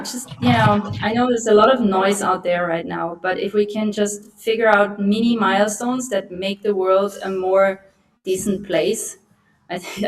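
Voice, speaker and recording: A woman's voice: medium pitch, rough recording. Passionate woman in her forties ranting. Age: 40s. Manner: passionate, emphatic, ranting with fillers like "right?". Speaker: guest speaker on a community call. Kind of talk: casual chat. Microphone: video call.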